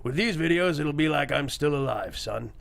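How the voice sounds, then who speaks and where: Creaky-voiced scheming male tycoon played by an actor. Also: fairly high pitch, lively intonation, normal speed, fairly bright tone, a little hiss or hum. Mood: smug, grandiose. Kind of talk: comedy script table read.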